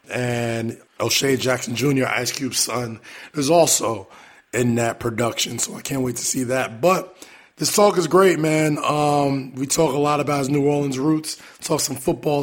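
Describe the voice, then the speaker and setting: A man hosting his podcast with a raspy, hoarse voice, talking loosely and swearing casually. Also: mid-range voice. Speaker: podcast host.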